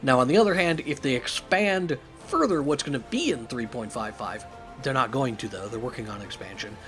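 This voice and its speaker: Man with a raspy, strained voice. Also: medium pitch, animated, a little fast, music in the background. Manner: thoughtful, opinionated, many fillers. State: hoarse from a cough. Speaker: YouTube channel host. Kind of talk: news recap commentary.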